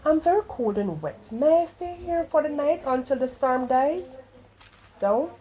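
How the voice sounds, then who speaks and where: A woman's voice: high-pitched, lively intonation, a little slow, phone-quality sound, voice pushed up. A woman reading the part of a polite, earnest young princess in a children's storybook. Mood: weary, pleading. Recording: clearly noisy home recording.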